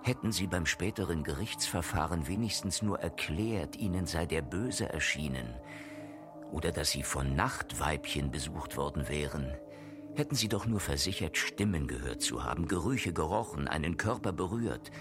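Grave chronicler, deep pitch, solemn scripted narration, dark radio drama, music behind. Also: male voice, expressive, moderate pace.

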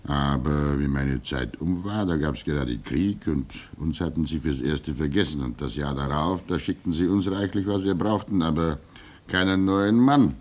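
A man acting in a German radio play as a gentle, resigned old hermit, fatherly and reflective, with halting speech that swings between emphasis and hush. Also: rumbling low. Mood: fatherly, earnest. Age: older.

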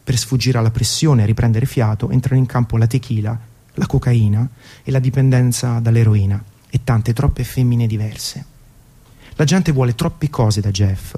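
Mellow-voiced man narrating on radio. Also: mid-range voice. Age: 30s. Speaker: radio presenter. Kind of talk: scripted narration of a music documentary monologue. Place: radio broadcast.

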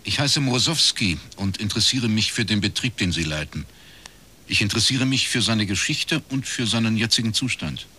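An actor playing a dry, probing private detective, matter-of-fact and laconic in a radio crime drama. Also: male voice, lowish voice, lively intonation, normal speed, bright, forceful tone, husky, full character voice. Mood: businesslike. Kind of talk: radio drama dialogue and first-person narration.

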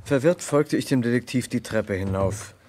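An actor playing an earnest, troubled gentleman who narrates an old German radio crime play in a careful literary manner. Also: medium pitch, a little hiss or hum, lightly acted. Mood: grave, narrating. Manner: measured, literary storytelling, hesitant in dialogue. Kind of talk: mid-20th-century German radio crime drama narration and acting.

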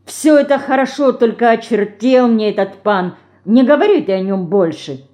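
A woman voicing a thoughtful, good-natured teenage boy with a measured, conciliatory manner in a storybook reading. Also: medium pitch, lively intonation, darkish tone, lightly acted. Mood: irritated.

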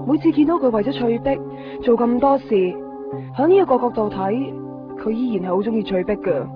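A woman's voice: fairly high pitch, brisk, close to an everyday voice. An actress playing a wistful, observant young woman in a radio drama, with a Hong Kong Cantonese accent. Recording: muffled radio broadcast over music.

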